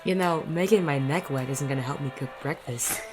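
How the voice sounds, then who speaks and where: Over a clear music bed comes a gruff male private detective played by an actor in a noir audio drama. He is wry and smitten, talking in plain tough-guy phrases at a middling pitch. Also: a little fast, close to an everyday voice. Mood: teasing. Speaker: narrator and lead character. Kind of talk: noir audio drama, first-person narration and banter.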